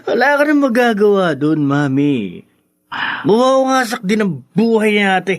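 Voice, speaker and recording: An actor playing an idle, drunken young rich son, flippant and mocking, with a Filipino accent in a radio drama. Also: male voice, high voice, big pitch swings, measured pace. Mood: bitter, dismissive. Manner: flippant, tipsy. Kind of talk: Tagalog radio drama acting.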